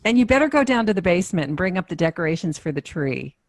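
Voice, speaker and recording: Practical sitcom mother, lively intonation. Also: fairly low pitch, quick pace. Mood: motherly. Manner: reasoning, placating, motherly. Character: practical, patient middle-aged mother soothing her husband. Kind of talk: live radio sitcom acting.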